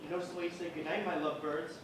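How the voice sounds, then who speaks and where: A man's voice: on the high side, little pitch movement, racing, lightly acted. An actor playing a resentful, guilt-ridden man who is tense and paranoid. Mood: suspicious. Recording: very echoey, distant mic, clear background noise.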